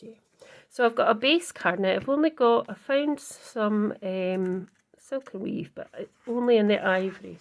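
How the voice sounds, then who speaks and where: Woman vlogger, husky croaky voice, unhurried, rambling self-corrections while crafting. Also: medium pitch, a little mellow. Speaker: card-making channel host. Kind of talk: casual crafting tutorial chat.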